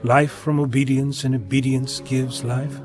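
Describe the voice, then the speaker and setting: A man's voice: mid-range voice, level tone, a little slow, music in the background, close to an everyday voice. A man playing a curious, courteous, analytical traveller in an audio drama. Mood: intrigued. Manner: inquisitive, courteous.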